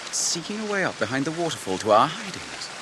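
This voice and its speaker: A man's voice: a little high, big pitch swings, fast talker. A British actor playing a proud, watchful captain in a radio drama, formal and imperious as he questions. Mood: alert, urgent. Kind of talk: BBC radio drama acting. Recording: hissy radio recording, loud falling water.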